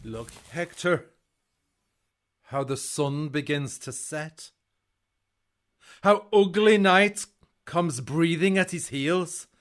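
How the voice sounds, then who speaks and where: An actor playing a ruthless, gloating warrior commander with a menacing, declamatory delivery in a Shakespearean audio drama. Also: male voice, on the high side, expressive, slow pace, long pauses, fairly bright tone.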